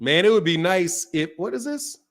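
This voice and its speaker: A man with a fairly high-pitched voice hosts a loose live-stream about boxing. He reads viewer comments aloud and answers them, talking in an animated way. He swears freely and repeats his points. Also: moderate pace. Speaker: boxing talk show host reading chat. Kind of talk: casual live-stream chat.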